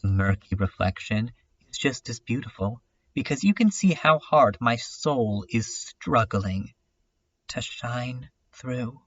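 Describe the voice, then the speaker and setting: A brooding, self-doubting dreamer, acted by a man speaks in a mid-pitched voice. The delivery is unhurried and halting, like a poetic confession in a fan-dubbed webcomic drama. The recording is dull and lo-fi. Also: animated, fairly bright tone. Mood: wistful, wounded. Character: brooding, self-critical dreamer musing on art and self. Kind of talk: slow introspective dramatic monologue in a webcomic fan dub.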